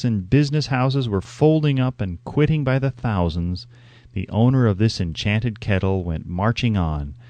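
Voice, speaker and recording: A man reading as an audiobook narrator, in a scripted, measured and emphatic storytelling delivery. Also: medium pitch, a little mellow, no echo.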